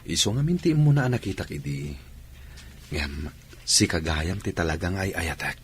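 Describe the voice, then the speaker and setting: A low male voice with a Filipino accent over faint noise, an actor in role. He plays a lovestruck radio drama suitor who pleads and insists. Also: lively intonation, normal speed. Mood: insistent.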